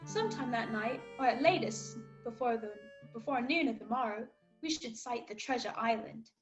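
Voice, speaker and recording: A woman's voice: fairly high pitch, normal speed, loud music behind the voice, lightly acted. A woman playing an earnest young cabin boy who narrates his sea tale in a scripted radio play. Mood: earnest, storytelling.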